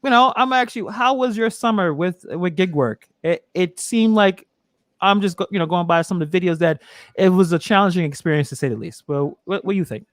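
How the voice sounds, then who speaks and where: A man's voice: high-pitched, a little fast, no room sound, clean studio sound. A man hosting a casual livestream interview, chatty and reading viewer messages aloud. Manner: chatty, laughs, reads chat aloud. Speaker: host of a livestream.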